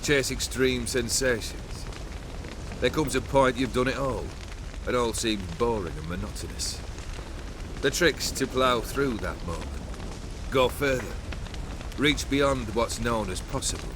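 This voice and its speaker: A man's voice: mid-range voice, a little slow, noisy background, natural voice. An actor playing a cold, world-weary immortal nobleman in a video game, speaking with arrogant, sardonic, archaic phrasing. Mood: wry, world-weary. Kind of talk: video game character acting.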